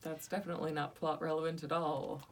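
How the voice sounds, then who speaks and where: A woman's voice: low-pitched, little pitch movement, quick pace, recorded from a distance. A young woman co-hosting a casual podcast review, bubbly and teasing, jumping in with corrections. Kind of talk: casual chat.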